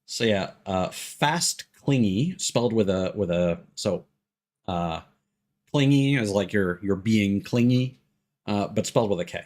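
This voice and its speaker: A man's voice: on the low side, animated, a little slow, darkish tone. A man streaming a video game, giving casual commentary in a rambling, explanatory way that halts mid-thought. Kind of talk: casual live gameplay commentary. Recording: game music behind the voice. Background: video game music.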